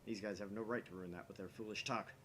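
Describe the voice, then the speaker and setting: A man voicing a grandiose young mad scientist in a visual novel, declaiming theatrically with pompous, dramatic pauses. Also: fairly low pitch, steady tone, fairly bright tone, a little hiss or hum, voice pushed down, natural voice. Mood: indignant, commanding.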